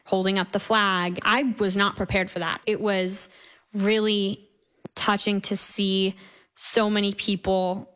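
A woman's voice: mid-range voice, level tone, measured pace, fairly bright tone, clean tone. A teenage young woman from New York, a classical singer, talking conversationally and reflectively as an interview guest. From Brooklyn, New York. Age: teenager, seventeen.

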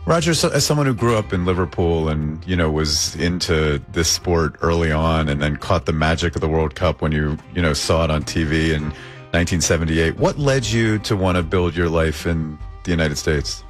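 A man with a deep, low-pitched voice hosts an interview. He shifts between conversational questioning and measured, scripted narration. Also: faint music behind. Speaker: interview host.

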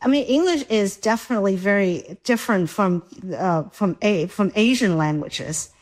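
A woman's voice: mid-range voice, moderate pace, a little mellow. A woman from Vietnam who speaks English with a Vietnamese accent, a storyteller talking reflectively on a panel, often restarting her sentences. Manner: reflective, with frequent restarts and "you know". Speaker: storyteller guest. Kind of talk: panel discussion.

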